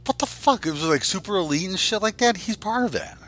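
A man's voice: lively intonation, fast talker, a bit bright. A man in his forties co-hosting a podcast, talking in a casual, scattered, rambling way with a lot of swearing. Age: 40s. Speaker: podcast co-host. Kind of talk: casual, unscripted chat. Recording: faint rumbling background noise.

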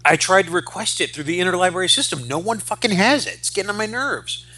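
Guy in his 40s chatting comics on a podcast, bright punchy voice, swears a lot, really into it. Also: sits high, lively intonation, quick pace. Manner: sweary, enthusiastic, explanatory. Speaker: podcast co-host. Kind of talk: casual chat about comic books.

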